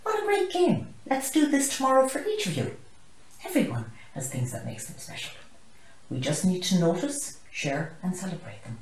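A woman's voice: lowish voice, very animated, normal speed, far from the mic. A woman voicing a kindly, enthusiastic female tortoise teacher in a storybook. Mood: excited. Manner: enthusiastic, encouraging. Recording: roomy, echoey living room.

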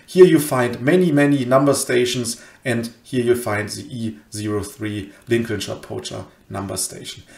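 A man's voice: mid-range voice, gravelly. Man lecturing informally in an echoey room. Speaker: presenter of an educational cryptology channel. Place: indoor room, voice-over on screen slides. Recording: roomy, echoey home recording.

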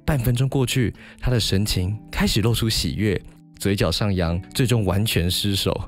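Very deep-voiced Taiwanese man. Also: normal speed, soft background music. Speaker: podcast host. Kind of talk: narration and book discussion.

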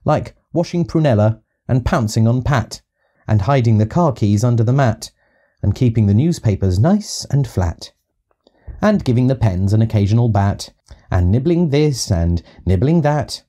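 A man's voice: mid-range voice, expressive, moderate pace, warm tone. A man narrating a children's audiobook, reading rhyming verse rhythmically and expressively. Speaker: storyteller reading to young children.

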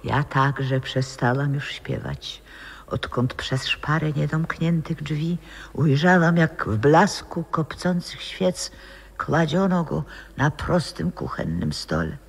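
Husky, theatrical old opera diva. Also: female voice, low voice, animated, slow pace, exaggerated voice. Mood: mournful. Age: older. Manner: dramatic, lyrical, swinging into whispers. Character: wistful old former opera singer lost in memories. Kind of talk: theatrical 1960s radio drama acting. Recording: reverberant 1960s radio play recording.

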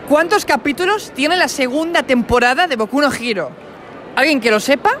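Guy in his 20s, bright sharp voice, cheeky street quiz host at a convention, handheld mic. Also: very high voice, lively intonation, normal speed, slight background noise. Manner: brash, mocking, playful insults. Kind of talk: teasing street quiz interview. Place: indoor convention hall. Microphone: handheld mic with furry windscreen.

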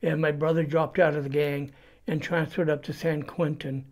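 A man in his seventies with a Californian accent shares a personal story as an interview guest. His voice sits slightly high for a man. He speaks plainly, starting and stopping as he goes. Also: level tone, moderate pace, darkish tone. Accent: Californian American. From California, United States. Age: 70s. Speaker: interview guest giving testimony. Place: indoors before a cloth backdrop.

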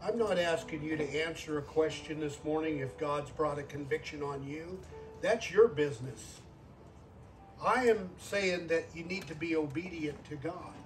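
A man's voice: fairly high pitch, normal speed, distant mic, soft background music, low-quality recording. An older man, a guest preacher in his sixties, delivering an earnest sermon and repeating phrases for emphasis. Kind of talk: sermon with altar call.

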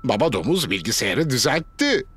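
A man narrating a children's cartoon in an expressive storybook manner. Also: mid-range voice, moderate pace. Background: loud music.

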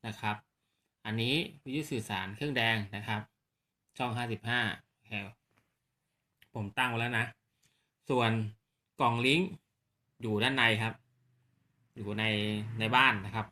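Casting: man, very bright forceful voice, polite casual gear demonstrator who checks in with viewers. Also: mid-range voice, steady tone, a little slow, pauses often. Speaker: presenter of radio gear demo on his own video channel. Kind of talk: casual hands-on product demonstration.

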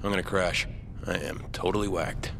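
Deadpan, gravelly deep hero. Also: male voice, normal speed. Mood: exhausted. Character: laid-back, wry male zombie-outbreak survivor hero. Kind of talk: dry video game acting. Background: background music.

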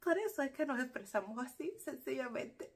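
A woman's voice: sits high, expressive, moderate pace, gravelly, slightly rough. A woman in her thirties with a Cuban Spanish accent, talking casually and animatedly to camera from a small room, laughing easily. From Cuba. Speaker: vlogger. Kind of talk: casual explanatory vlog. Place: small room indoors, seated in armchair. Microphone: camera in front, medium close.

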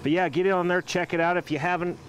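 A middle-aged man giving a casual outdoor cooking demo, relaxed and plain-spoken, with halting phrasing. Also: sits high. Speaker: guest outdoor cooking chef. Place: outdoor rooftop deck. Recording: faint outdoor traffic noise.

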